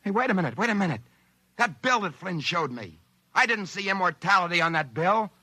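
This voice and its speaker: High-voiced, flustered American everyman. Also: lively intonation, brisk, bright, forceful tone, dry recording, heavily acted. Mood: panicked protest. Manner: flustered, whining, pleading. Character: bewildered, protesting everyman debtor, easily panicked. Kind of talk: 1950s American radio drama acting. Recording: vintage 1950s radio recording.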